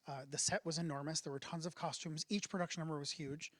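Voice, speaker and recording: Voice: mid-range voice, steady tone, fast, dry recording. A co-host chatting through a theater interview, explaining at length with laughs along the way. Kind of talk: casual interview chat.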